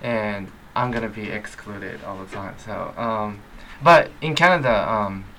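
Bright-voiced hesitant actor. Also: male voice, lowish voice, a little slow, vocal fry, a little hiss or hum, poor audio. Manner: hesitant, many fillers. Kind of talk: interview answers.

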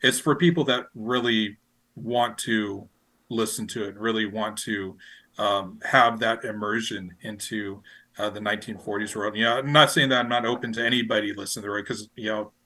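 A man's voice: lowish voice, steady tone, moderate pace, bright, forceful tone. A man chatting casually as a radio station operator in an interview, rambling and repeating his phrases as he feels for words. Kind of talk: casual podcast interview chat.

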